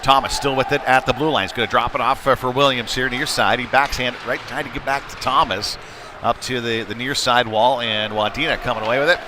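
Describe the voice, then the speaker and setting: A man's voice: medium pitch, steady tone, a little fast. A man doing live play-by-play commentary of a youth hockey game in a conversational, somewhat hesitant way. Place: indoor ice hockey rink. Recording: noisy ice rink ambience. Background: clear rink noise and ambience.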